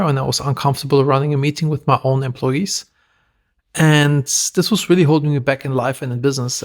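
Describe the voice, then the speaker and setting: A man with a German accent, a podcast guest telling stories in a lively, self-deprecating way. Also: medium pitch. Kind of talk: casual interview talk.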